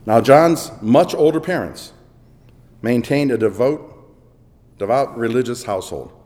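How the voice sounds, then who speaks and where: Guy preaching a sermon, really lively pitch, booms then goes quiet, long hall echo. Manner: dramatic, swings between booming and hushed.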